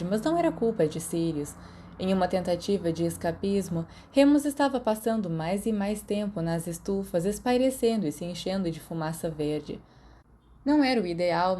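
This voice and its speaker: A woman's voice: fairly low pitch, mellow, a little hiss or hum. A woman with a Brazilian accent reads a story aloud as scripted audiobook narration. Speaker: podfic narrator.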